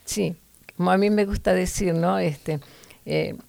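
A woman gives an earnest Bible teaching, stopping often to restart a phrase. Her voice is low and gravelly. The sound is close and dry. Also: steady tone, a little slow, a little mellow, no echo. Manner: earnest, preachy, with fillers and restarts.

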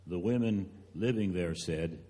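Low, very dark-voiced man reading scripture. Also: unhurried. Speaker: narrator in a church dramatic reading. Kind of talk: scripted scripture narration, read aloud.

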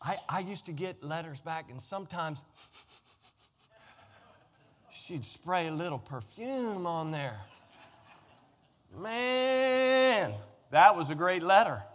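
A man's voice: high-pitched, expressive, takes it slowly, pauses often, fairly bright tone. A middle-aged American man preaching a sermon in a conversational, emphatic way, putting rhetorical questions to his listeners. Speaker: pastor. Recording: echoey hall with long reverb.

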